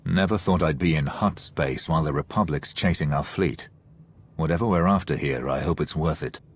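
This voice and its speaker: Gruff, husky starfighter sergeant. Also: male voice, a bass voice, normal speed. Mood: wry, businesslike. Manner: clipped, plain-spoken. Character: weary, gruff military starfighter pilot, a sergeant. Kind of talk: video game cutscene acting.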